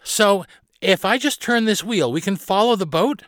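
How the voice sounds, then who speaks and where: Man putting on a high-pitched eager young girl. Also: animated, moderate pace, a bit bright, no room sound. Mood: eager, questioning. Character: young girl pirate captain, eager, unsure, easily alarmed.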